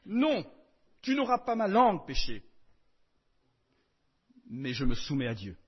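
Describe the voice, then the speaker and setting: A man preaching a sermon in French, emphatic, swinging between loud declarations and soft asides. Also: a little high, huge pitch swings, unhurried, slightly rough, muffled recording.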